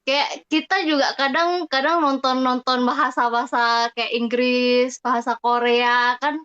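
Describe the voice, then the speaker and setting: A woman's voice: high-pitched, level tone, measured pace, a bit bright, clear, resonant tone, dull audio. A woman chatting casually and asking questions.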